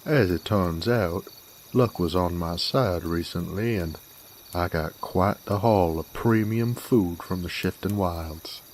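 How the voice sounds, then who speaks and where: Deep, slow-drawling Southern cowboy rogue, acted by a man. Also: a little mellow, slight background noise. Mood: sly, flirtatious. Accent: American Southern. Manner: drawling, folksy, teasing. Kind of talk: scripted roleplay audio drama.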